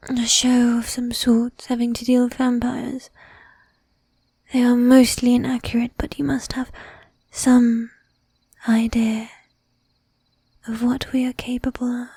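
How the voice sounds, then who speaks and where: Woman playing a breathy, slow-whispering seductive vampire lady. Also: fairly high pitch, mellow, dry recording, exaggerated voice. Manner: slow, teasing, commanding, with archaic polite phrasing. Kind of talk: whispered ASMR roleplay.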